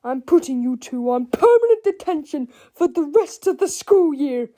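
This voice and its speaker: A woman voicing an angry, bullying middle-aged male school principal from a storybook, shouting and growling with menace. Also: very high-pitched, big pitch swings, very slow, voice pushed up, heavily acted. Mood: furious.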